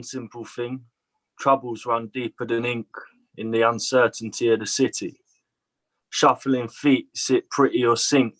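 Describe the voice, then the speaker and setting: A man reading his own poem aloud in a slow, measured way over a video call. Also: mid-range voice, pushed and bright, no echo, rough recording. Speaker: poet.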